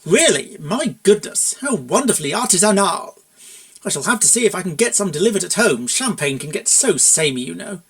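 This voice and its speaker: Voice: mid-range voice, big pitch swings, fairly quick. A performer voicing a suave, middle-aged cartoon stallion consultant with polite, pompous, flowery speech in a story reading. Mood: delighted, affected.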